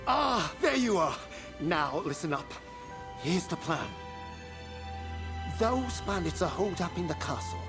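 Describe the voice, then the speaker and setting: A man voicing an excitable, eccentric inventor in a video game, flustered and theatrical in his delivery. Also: high-pitched, unhurried, pauses often, over music, exaggerated voice. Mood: eager, instructing. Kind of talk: video game cutscene acting.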